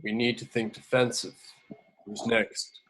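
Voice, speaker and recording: A man playing a serious, cautious superhero strategist in a script table read, speaking deliberately. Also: medium pitch, little pitch movement, fairly bright tone, lightly acted. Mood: wary.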